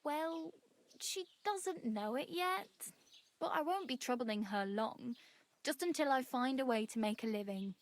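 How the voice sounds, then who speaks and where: A woman's voice: sits high, lively intonation, measured pace. A woman playing a friendly, plucky young wandering beggar in a medieval video game, chatty and wry. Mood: wry, hopeful. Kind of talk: video game dialogue acting.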